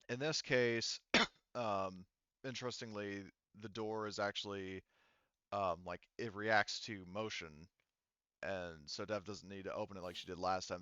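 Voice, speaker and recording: Low-voiced man, clear and halting game master. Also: bright, forceful tone, dry recording, dull audio. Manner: halting, conversational explaining. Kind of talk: improvised tabletop game narration.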